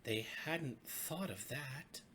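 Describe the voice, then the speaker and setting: A woman reading a children's bedtime story with expressive, dramatic, deliberate phrasing. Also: rumbling low, a little hiss or hum. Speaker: storybook reader.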